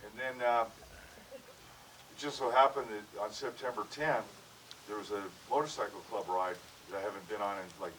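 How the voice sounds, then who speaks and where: A man's voice: deep voice, noisy background. A man in his sixties, born in Hawaii, giving a casual, joking memorial speech outdoors on a handheld mic, with many fillers and restarts. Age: 60s. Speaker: widower hosting a memorial gathering. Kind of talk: casual heartfelt speech to a crowd. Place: outdoor backyard gathering. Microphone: handheld microphone. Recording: distant mic with crowd around.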